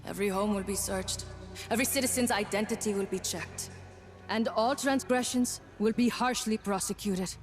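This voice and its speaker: A woman playing a merciless military commander in a video game, speaking in a formal, measured, authoritative way. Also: mid-range voice, faint music behind, lightly acted. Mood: cold, threatening. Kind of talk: video game cutscene acting.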